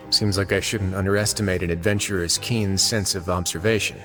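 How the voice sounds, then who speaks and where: An actor playing a composed, laid-back man who runs a prison, speaking calmly with dry teasing humor. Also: low-pitched, a little fast, over music, natural voice. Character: composed, dryly witty man in charge of a prison. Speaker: prison warden. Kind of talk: video game dialogue.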